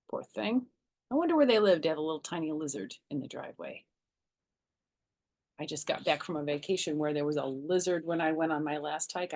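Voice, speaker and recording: A woman reading a children's chapter book aloud as a storyteller, expressively acting out the characters. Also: low voice. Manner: animated, acts out the characters.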